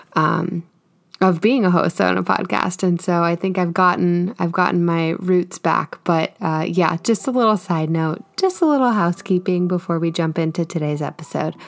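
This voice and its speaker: Nasal, fast-talking woman podcast host. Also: lowish voice. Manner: fast, gushing, upbeat. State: nasal from a cold; sniffly. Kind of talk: casual podcast intro.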